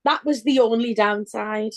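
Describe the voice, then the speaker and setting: A woman co-hosting a casual podcast chat, bubbly and quick to laugh. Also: fairly high pitch. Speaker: podcast co-host.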